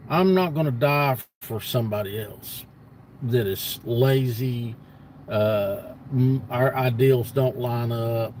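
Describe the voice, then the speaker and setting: A man in his fifties rambles casually to an online audience in a mid-pitched voice. He is blunt and folksy, leaning on repetition to press his point. Steady vehicle-like ambient noise sits behind him. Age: 50s. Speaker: livestream host. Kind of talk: casual rambling monologue to viewers.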